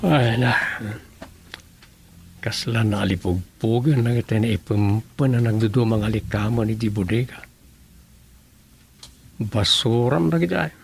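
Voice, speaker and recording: A man's voice: fairly low pitch, a little slow, gravelly, pauses often, a little hiss or hum. A man acting as a practical, scheming townsman in an Ilocano radio comedy drama, with an Ilocano accent. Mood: animated, scheming.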